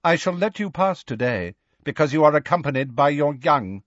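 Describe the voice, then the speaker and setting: A man voicing a proud, stern male centaur in a storybook, speaking in a formal, measured and calmly menacing way. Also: a little high, no echo, muffled recording, voice pushed up. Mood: calm, commanding.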